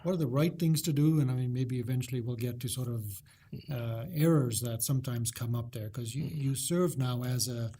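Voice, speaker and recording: Mellow-voiced man hosting a faith podcast. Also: medium pitch, slight background noise. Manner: unhurried, with frequent filler sounds and listening murmurs. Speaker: podcast host. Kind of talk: relaxed podcast interview.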